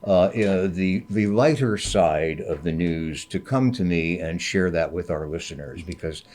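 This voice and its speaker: An older man with a deep, resonant radio voice, a public radio host chatting casually, unhurried and full of fillers. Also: a little mellow. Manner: unhurried, many uh fillers, jokey. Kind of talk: casual radio studio chat.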